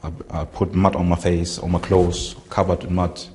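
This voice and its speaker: A man, recounting events haltingly in an interview and searching for words. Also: low-pitched, flat delivery, darkish tone, a little hiss or hum. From Germany. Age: 20s. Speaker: interviewee, former militant. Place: indoor room with barred windows.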